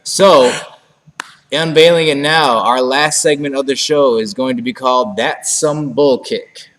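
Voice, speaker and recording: A man's voice: mid-range voice, a little slow, big-room sound, low-quality recording. A man co-hosting a casual fight-sports talk show, rambling and then ranting with emphasis. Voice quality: monotone at times. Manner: rambling, rising to emphatic rants. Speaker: podcast co-host.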